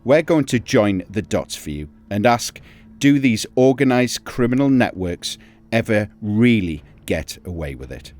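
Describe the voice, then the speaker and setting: A man's voice: on the low side, big pitch swings, a little slow, darkish tone. A man with a Geordie accent, a crime journalist hosting a podcast, telling the story dramatically and chatting with jokes. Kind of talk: scripted narration then casual chat.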